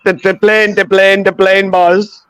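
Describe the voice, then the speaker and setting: High-voiced teasing man, close and dry. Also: flat delivery, measured pace, fairly bright tone, smooth, dry recording. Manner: teasing, profane. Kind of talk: casual hangout chat.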